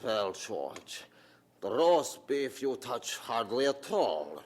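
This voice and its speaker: An actor playing a nagging older German father in a radio drama, critical and deliberate, with a German accent and foreign word order. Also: medium pitch, big pitch swings, husky, exaggerated voice.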